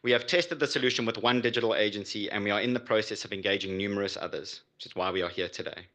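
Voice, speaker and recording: A man delivering a prepared pitch as a startup founder. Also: fast, bright, forceful tone. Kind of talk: prepared business pitch presentation.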